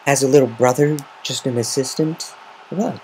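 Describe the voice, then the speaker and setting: A tomboyish young female pegasus in a story, performed by a man, uneasy and blunt. The pitch is lifted above a natural male register, with hesitant phrasing. Some faint noise sits on the amateur recording. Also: normal speed, darkish tone, close to an everyday voice. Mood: probing, unsure. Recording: noisy amateur home recording.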